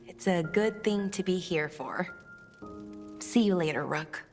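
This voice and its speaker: A woman playing a gentle, troubled young elf in a fantasy game, sincere and hesitant. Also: lowish voice, a little slow. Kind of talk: cinematic fantasy game dialogue. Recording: long reverb, music behind.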